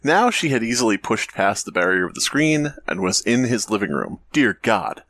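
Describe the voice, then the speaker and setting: Male comedy co-host, highly varied intonation, irreverent, sweary riffs over read-aloud stories. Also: very animated, normal speed, a bit bright, dry recording. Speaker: podcast co-host. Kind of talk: comic read-aloud and banter.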